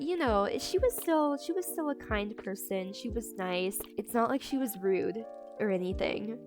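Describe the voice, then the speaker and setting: Young woman chatting a storytime, lots of likes and restarts, gets super incredulous, music playing clearly under her. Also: mid-range voice. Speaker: storytime creator. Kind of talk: casual storytime vlog. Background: background music.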